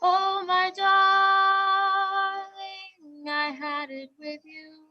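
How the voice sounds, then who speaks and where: A woman singing a gentle love song in a clear, steady voice. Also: very high voice, extremely slow, lo-fi sound, over loud music. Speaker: guest singer and performer.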